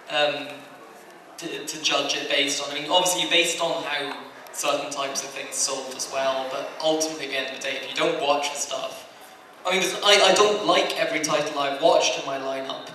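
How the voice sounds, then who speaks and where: A man's voice: on the high side, sharp tone, recorded from a distance. A man speaking conversationally and discursively on a convention panel as an anime licensing executive. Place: large indoor convention panel room. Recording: very echoey room, off mic, faint noise.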